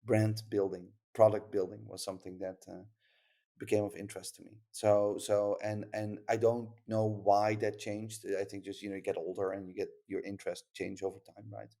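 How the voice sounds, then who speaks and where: A man's voice: fairly low pitch, level tone, normal speed, vocal fry, mellow. A Dutch-accented man from the Netherlands, a startup founder talking casually on a podcast, rambling with lots of fillers and restarts.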